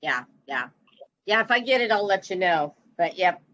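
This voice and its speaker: Bright-voiced woman chatting on a dull call. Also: lowish voice, very animated, normal speed. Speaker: audience member. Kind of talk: casual chat. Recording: dull video-call sound.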